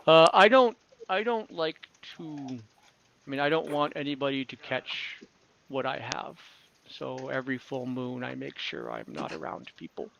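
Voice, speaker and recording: A man playing a solitary, good-humored werebear who explains his curse in a plain, halting way. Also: medium pitch, big pitch swings, vocal fry, fairly bright tone, lo-fi sound, slight background noise, full character voice. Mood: wary, hesitant.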